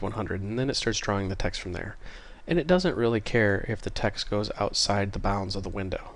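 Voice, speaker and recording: A man giving a casual screencast programming tutorial, informal and apologetic as he thinks aloud, sounding a little congested. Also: fairly low pitch. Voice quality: slightly congested, stuffy nose. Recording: clear keyboard and mouse clicking noise. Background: typing and mouse clicks.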